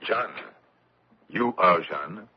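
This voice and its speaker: An actor playing a sarcastic, commanding French collaborationist officer who menaces prisoners in a radio drama. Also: male voice, sits low, expressive, extremely slow, breathy edge, exaggerated voice. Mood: curt, commanding. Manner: commanding, sneering. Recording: dull lo-fi old radio recording.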